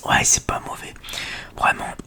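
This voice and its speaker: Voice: a little slow, pushed and bright. An ASMR host chatting casually in a whisper, rambling along in informal, slangy speech close to the microphone.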